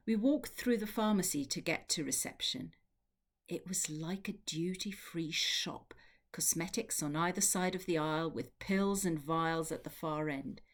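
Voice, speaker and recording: Low-voiced woman reading literary prose. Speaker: literary translator reading from a novel. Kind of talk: audiobook-style narrated prose reading.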